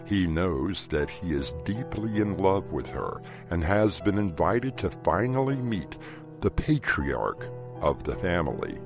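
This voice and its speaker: Horror anthology host, very low voice, lively intonation, deliberate theatrical narration, ominous. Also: male voice, gravelly, over music, full character voice. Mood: measured, ominous. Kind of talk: scripted audio drama narration.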